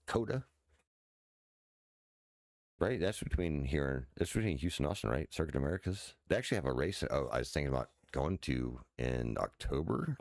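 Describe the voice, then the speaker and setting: A man in his forties, a streamer with a deep voice, chatting loosely at home with many fillers and restarts and casual swearing. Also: no room sound, studio quality. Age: 40s. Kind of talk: casual rambling chat while sim racing. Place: his house.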